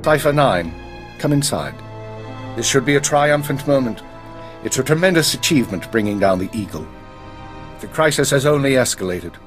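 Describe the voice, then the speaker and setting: An actor playing a grave, authoritative spymaster in a video game, clipped and formal. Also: male voice, medium pitch, animated, normal speed, pauses often, over music, lightly acted. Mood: grave, stern.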